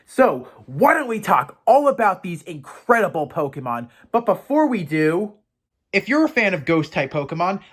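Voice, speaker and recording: A man's voice: a little high, fairly bright tone. A man presenting to viewers as a playful professor host, chatty and theatrical, often putting questions to the audience. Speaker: host of a Pokemon education show.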